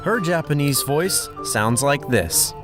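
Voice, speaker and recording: A man's voice: a little high, lively intonation, takes it slowly. A man with an informal, chatty manner presenting a casting call announcement as the project's director, close on the microphone. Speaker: fan dub director, casting host. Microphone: close XLR microphone. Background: background music.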